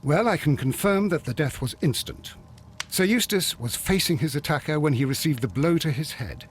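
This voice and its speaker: An actor playing an earnest, factual Victorian doctor and detective's companion in a video game, reporting clinically. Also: male voice, fairly high pitch, lively intonation, moderate pace, slight background noise, close to an everyday voice. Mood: assured, clinical.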